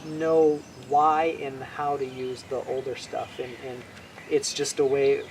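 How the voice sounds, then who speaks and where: A man's voice: mid-range voice, slow pace. A man from Hawaii talks about his craft in a loose, meandering way. He restarts often and sounds at ease. The recording carries a faint outdoor hum of birds and nature. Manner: relaxed, rambling with many restarts. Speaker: bushcraft instructor, guest. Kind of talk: casual interview chat.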